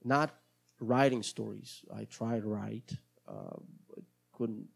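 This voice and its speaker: Gravelly-voiced Brazilian man rambling casually. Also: on the low side, wildly swooping pitch, slow. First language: Portuguese. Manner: hesitant, rambling, many restarts. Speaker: podcast host. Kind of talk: casual solo podcast monologue.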